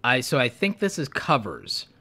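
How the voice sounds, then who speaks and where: A man's voice: mid-range voice, wildly swooping pitch, normal speed, sharp tone. A man hosting a live stream, sick and losing his voice, giving frank advice while thinking aloud with lots of ums. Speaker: YouTube creator hosting live Q&A and channel reviews.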